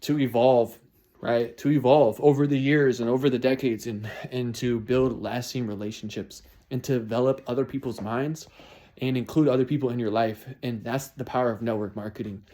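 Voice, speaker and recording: A man's voice: mid-range voice, steady tone, normal speed, warm tone. A man in his twenties talking through his New Year's resolutions in a casual, motivational way, often repeating and restarting phrases. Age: 20s. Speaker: vlogger.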